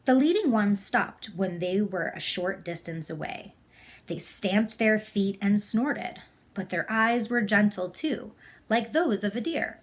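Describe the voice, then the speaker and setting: Female storybook reader, mid-pitched, for read-aloud narration of a tale. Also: expressive, measured pace.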